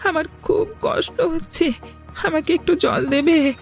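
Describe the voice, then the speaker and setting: A high, creaky young village boy, acted by a woman in a cartoon. He is earnest and pleads plaintively. Faint music plays behind the voice. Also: measured pace. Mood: suffering, pleading. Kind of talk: cartoon voice acting.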